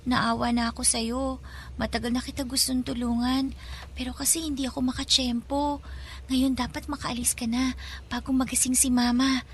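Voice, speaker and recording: An actress playing a kind, timid young girl in a Filipino radio drama, speaking in a hushed, childlike, earnest way. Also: high voice, level tone, normal speed, a little hiss or hum. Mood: hushed, caring.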